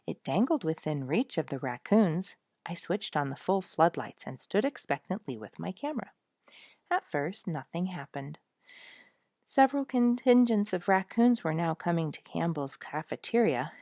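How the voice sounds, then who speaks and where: Female narrator, lower register, expressive children's storytelling, very dry recording. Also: gravelly, dry recording. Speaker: audiobook narrator.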